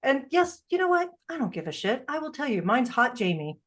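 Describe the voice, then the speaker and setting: A woman's voice: sits high, big pitch swings, brisk, fairly bright tone. A middle-aged woman hosting a podcast, telling anecdotes in a playful, irreverent, casual way. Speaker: podcast host.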